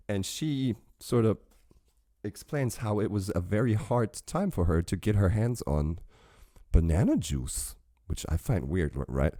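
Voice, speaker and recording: A man's voice: fairly low pitch, mellow, no room sound, studio quality. A man hosting a reaction channel, rambling casually with chatty asides while sipping a drink.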